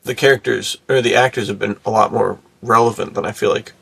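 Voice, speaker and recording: A man's voice: lowish voice, fairly even intonation, a little fast, gravelly, fairly bright tone, breathy edge, no room sound. A man co-hosting a podcast in casual, slangy, enthusiastic bro talk. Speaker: podcast host. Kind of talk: casual chat.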